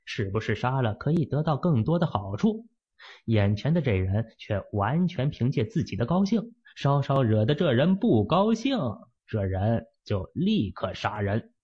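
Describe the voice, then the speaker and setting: A man's voice: husky, dull audio. A man narrating a fantasy novel as an audiobook, telling the story expressively. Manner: expressive storytelling with lively pitch swings.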